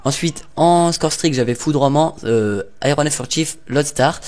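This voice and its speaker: A man's voice: a little high, moderate pace, a little mellow. A young man casually commenting over gameplay, rambling and hesitant with lots of fillers and little jokes. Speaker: gaming YouTuber.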